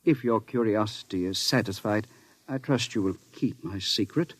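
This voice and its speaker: Casting: man as guarded old professor, low rough voice, dry sound, formal vintage radio acting. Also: moderate pace, no echo, lightly acted. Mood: pedantic, proud. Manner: formal, pedantic. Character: secretive, proud professor, guarded inventor, older man. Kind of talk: 1950s radio drama acting.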